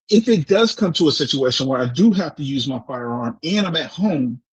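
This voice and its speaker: An American man in a casual debate, explaining in an animated way, repeating words and often checking with "right?". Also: a little high, husky, no echo, dull audio. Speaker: discussion participant answering questions.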